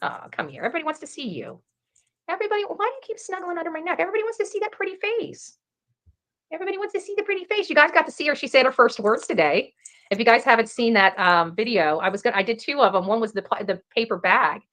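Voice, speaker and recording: A woman's voice: sits high, very animated, fast talker, fairly bright tone. A woman talking as an online true crime commentator in a livestream style, emphatic and given to repeating her phrases. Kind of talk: livestream case commentary and casual chat.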